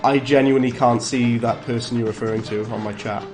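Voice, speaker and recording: A man's voice: medium pitch, fairly even intonation, a little fast, music in the background. A man with a Welsh accent streaming a game live, chatting casually, greeting viewers and reacting aloud to the play. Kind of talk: live gameplay commentary and chat replies.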